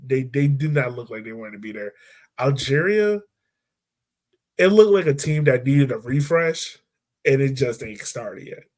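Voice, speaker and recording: A man hosting a casual football podcast, talking in a relaxed, chatty way and laughing easily. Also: mid-range voice, a little slow. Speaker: podcast host. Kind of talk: casual sports chat.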